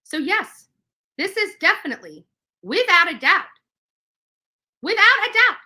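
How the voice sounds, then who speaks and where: A woman's voice: very high-pitched, very animated, a little slow, bright, forceful tone. A woman livestreamer delivering a combative, emphatic rant to her viewers, repeating phrases for stress. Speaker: livestream host.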